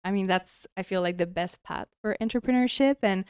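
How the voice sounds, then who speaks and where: Clear-spoken woman hosting a podcast. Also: medium pitch, brisk, a little mellow. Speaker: podcast host. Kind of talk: scripted podcast intro, casual interview chat.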